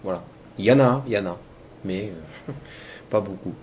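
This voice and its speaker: A man's voice: fairly low pitch, normal speed, darkish tone, slight background noise. A man from Toulouse, a DJ and producer, giving loose, rambling interview answers that trail off with fillers. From Toulouse, France. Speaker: electronic music DJ and producer.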